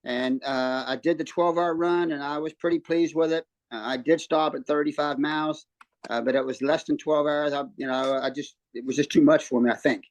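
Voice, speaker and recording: A man's voice: fairly high pitch, fairly quick, no echo. Older man from West Virginia, dry sound. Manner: relaxed storytelling with many ums and restarts. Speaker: guest, former runner and coach. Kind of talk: casual interview chat. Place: hotel room.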